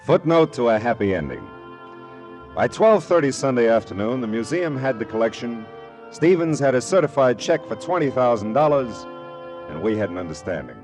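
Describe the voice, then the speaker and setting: An actor playing a shrewd, world-weary private detective in mid-century American radio style, with a wry, hard-boiled, knowing manner and an American accent. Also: male voice, medium pitch, close to an everyday voice. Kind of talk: 1940s-50s American radio detective acting. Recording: vintage radio drama recording with music.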